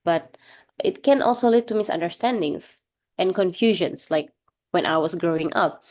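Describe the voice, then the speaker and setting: A young woman from Sulawesi with an Indonesian accent, giving an online workshop presentation in an earnest, explanatory way, pausing often with "um" and "uh". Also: on the low side. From Indonesia, Sulawesi (Toraja). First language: Toraja. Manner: earnest, explanatory, many "um" and "uh" pauses. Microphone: video call.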